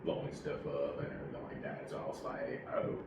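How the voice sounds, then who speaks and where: A man in his forties from Puerto Rico, an army veteran telling his story in a relaxed interview, halting and full of fillers. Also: a bass voice, heavy reverb, distant mic, noisy background. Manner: rambling, halting, many fillers.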